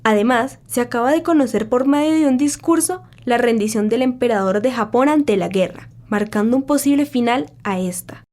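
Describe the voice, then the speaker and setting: A woman's voice: fairly high pitch, moderate pace, hardly any pauses, darkish tone, natural voice. A woman playing a solemn radio newsreader of the 1940s, delivering scripted war news in a formal, grave, dramatic way.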